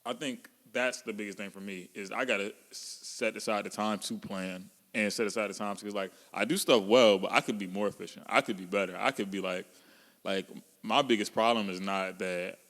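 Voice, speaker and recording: A man's voice: on the low side, fairly even intonation, fairly quick, fairly bright tone. A man around thirty co-hosting a podcast, talking in loose slang with a joking manner. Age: 30s. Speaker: podcast co-host. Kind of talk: casual chat. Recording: long hall reverb.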